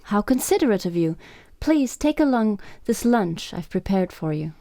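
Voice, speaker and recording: A woman acting a gracious, formally polite Japanese innkeeper in a radio play, courteous and measured. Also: mid-range voice, very animated, mellow, no echo. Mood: attentive.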